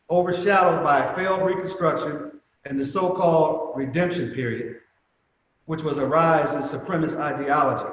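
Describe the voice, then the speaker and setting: A man giving prepared remarks as a museum representative at a commemoration, speaking in a measured, emphatic way with deliberate pauses. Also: a little high. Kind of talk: prepared speech at a virtual commemoration. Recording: very echoey reverberant room, muffled, faint noise.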